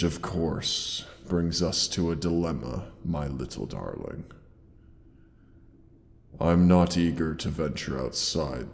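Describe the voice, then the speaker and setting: A man playing a huge male dragon in a roleplay audio drama, grandiose yet tender and protective, with gravelly, theatrical, old-fashioned formal speech. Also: exaggerated voice. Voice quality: very deep, gravelly creaking voice. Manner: slow, theatrical, archaic formal phrasing with pauses. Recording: long cavernous reverb.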